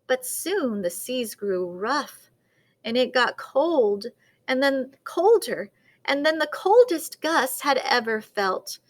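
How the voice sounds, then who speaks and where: A woman reading a children's picture book aloud as a storyteller, with dramatic, deliberate expression. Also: high voice, very animated.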